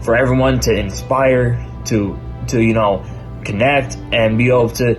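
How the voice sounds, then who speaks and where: A man's voice: mid-range voice, fairly even intonation, moderate pace, poor audio. A man speaks in an informal vlog style and often restarts or repeats his phrases. Light music plays behind him. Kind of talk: vlog intro.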